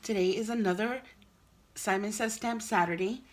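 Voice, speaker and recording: A woman's voice: mid-range voice, normal speed. A woman hosting a card-making tutorial, chatty and explaining each step, sounding nasal from a cold. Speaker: craft channel host.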